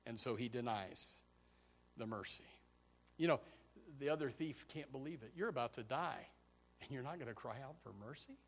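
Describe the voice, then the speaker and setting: A man in his forties preaching a sermon to a congregation, swinging from loud emphatic peaks to low quiet passages and repeating key phrases. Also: expressive, normal speed, gravelly, long pauses, breathy edge. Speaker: pastor. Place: church service before a congregation.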